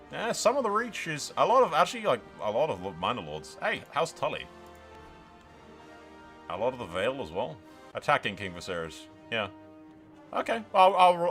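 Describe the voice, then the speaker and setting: A man's voice: on the low side, a bit bright. A man giving casual game roleplay commentary, slipping into dramatic narration with a jokey, sweary, theatrical manner. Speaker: gaming video creator.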